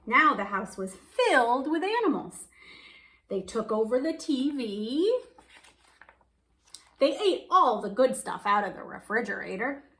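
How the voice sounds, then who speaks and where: A woman's voice: a little high, very animated, measured pace, pauses often, a bit bright. A woman reading a picture book aloud, telling the story expressively and stretching words for emphasis. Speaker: children's storybook reader.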